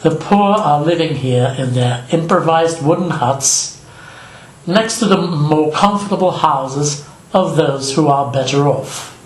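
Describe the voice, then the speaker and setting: An older man from Belgium, narrating his travel vlog in a slow, measured, reflective voice-over. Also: on the high side, roomy sound, slight background noise, rough recording. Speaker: vlogger.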